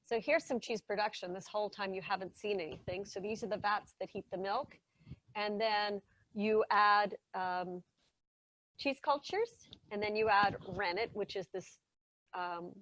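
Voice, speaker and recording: A woman's voice: medium pitch, a bit bright, dry recording. A woman giving a casual, enthusiastic talk on how cheese is made, often correcting herself mid-sentence, over a video call. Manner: chatty, enthusiastic, self-correcting. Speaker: cheese expert presenter.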